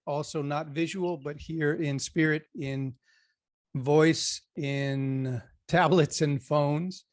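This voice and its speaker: A man hosting an interview on a video call, guiding the conversation and greeting the people who join. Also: a little high. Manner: friendly, guiding and organizing the call. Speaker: interview host.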